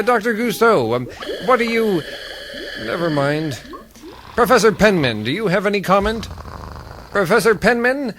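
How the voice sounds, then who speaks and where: Casting: actor as earnest male news anchor for radio satire, highish voice, formal and measured, exasperated underneath. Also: a bit bright, a little hiss or hum. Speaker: host of a parody news broadcast. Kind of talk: satirical radio theater, broadcast interviewing.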